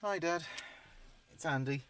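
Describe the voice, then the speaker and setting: An actor playing a grieving son who talks reflectively and haltingly to his late father in an audio drama monologue. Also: on the high side, fairly bright tone, slight background noise. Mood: subdued, wistful.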